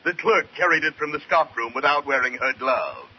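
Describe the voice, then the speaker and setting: An actor playing a snooty, self-important fashion designer with a pompous, drawn-out delivery in an old radio sitcom. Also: male voice, on the high side, natural voice. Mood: condescending. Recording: muffled, noisy vintage radio recording.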